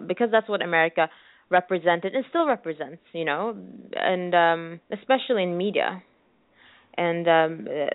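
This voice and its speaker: A woman's voice: on the low side, dry recording, phone-quality sound. A young woman from Sweden speaking fluent English as an interview guest, reflective and often searching for her words.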